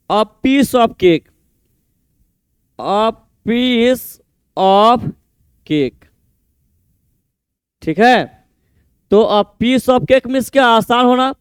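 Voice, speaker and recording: A male teacher, explaining idioms for an exam and repeating each meaning slowly as he writes. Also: very high voice, clean tone, no room sound. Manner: mixes Hindi explanation with English example sentences. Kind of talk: exam-prep idiom lesson, writing on a board.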